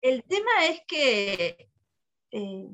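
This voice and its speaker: A woman's voice: mid-range voice, sing-song, very slow, a bit bright. A woman with a Uruguayan accent, a radio co-host and comedian, chatting casually as the guest in a radio interview.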